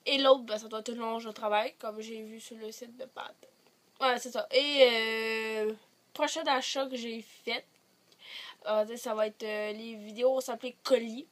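A woman's voice: fairly high pitch, steady tone, a little slow. A teenage woman with a Quebec French accent, chatting to camera in her bedroom, rambling with many hesitations, a little congested from a cold. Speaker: vlogger. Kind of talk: casual chat to camera. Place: bedroom, sitting on a bed. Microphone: device camera at short distance.

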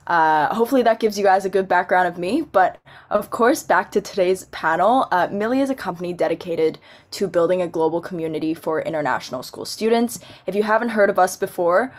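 A woman's voice: fairly low pitch, fairly quick. A young woman moderating a panel over a video call, giving friendly, organized introductions. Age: young adult. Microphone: laptop webcam on video call.

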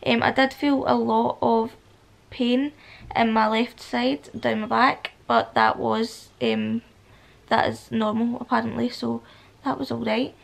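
A woman in her twenties with a Scottish accent, a husky voice from a cold, talking softly to the camera in a casual vlog with many ums. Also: on the high side, flat delivery, unhurried. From Scotland. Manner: soft and hushed, with many ums. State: has a cold. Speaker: vlogger. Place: indoors, at home in a bedroom, lying against a headboard. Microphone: phone or camera at arm's length.

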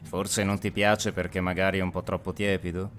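A man's voice: low-pitched, flat delivery, fairly quick, a bit bright, a little hiss or hum. A man voicing an intimidating gangster, coldly sarcastic and mock-polite, in an anime fan dub. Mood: mocking menace.

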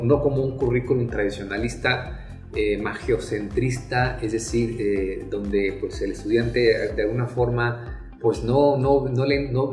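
A middle-aged man from Mexico giving a university talk, measured and hesitant, with many fillers and restarts. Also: mid-range voice, darkish tone. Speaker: university lecturer. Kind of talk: academic talk to prospective students. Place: small plain room, indoors. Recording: echoey room, faint music behind.

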